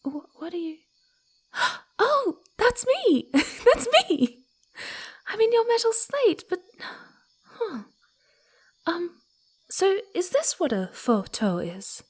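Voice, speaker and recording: A woman's voice: very high voice, very animated, slow, long pauses, full character voice. A woman voicing a playful, mischievous young female fox spirit in an ASMR roleplay, with a cutesy, giggly, teasing manner. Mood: amazed.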